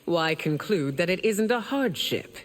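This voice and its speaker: An actress playing a regal, ageless queen in a video game, cool, aloof and measured. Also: fairly low pitch, expressive, big-room sound. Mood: haughty. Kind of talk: video game character voice acting.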